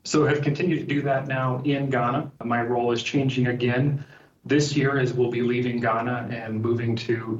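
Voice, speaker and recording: A middle-aged man from Liberia, a podcast guest, speaks in a thoughtful, measured way. He sounds far from the mic, in an echoey room. The audio is dull and lo-fi, like a remote call. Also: mid-range voice. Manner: thoughtful, links ideas with "and so". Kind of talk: interview answers.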